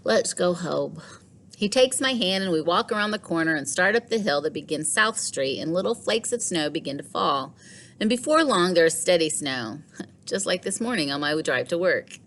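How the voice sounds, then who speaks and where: A woman teacher reading a storybook aloud to children in an expressive way, with deliberate dramatic pauses. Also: on the low side, slight background noise.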